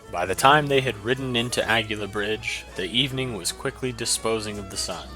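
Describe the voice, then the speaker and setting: Male narrator, lowish bright voice, storybook read, soft music behind. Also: poor audio. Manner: even, descriptive storytelling.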